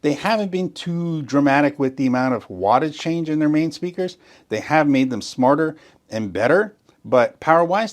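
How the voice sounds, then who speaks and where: A man walking viewers through a sound system setup in a step-by-step tutorial, enthusiastic and explanatory, often stressing what is very important. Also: medium pitch. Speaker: gear demonstrator. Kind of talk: tutorial walkthrough.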